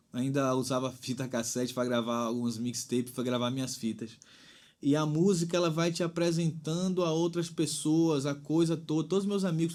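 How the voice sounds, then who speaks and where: A man's voice: on the high side, a little mellow. Man, reflective and rambling. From Bahia, Brazil. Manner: thoughtful, rambling with frequent "né?". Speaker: singer-songwriter guest. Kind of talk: reflective interview talk.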